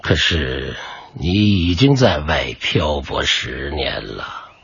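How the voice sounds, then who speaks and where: Gravelly-husky, very deep old master. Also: male voice, big pitch swings, fairly bright tone, muffled recording, heavily acted. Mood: stern, measured. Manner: measured, authoritative. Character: stern, patient old male Taoist kung fu master.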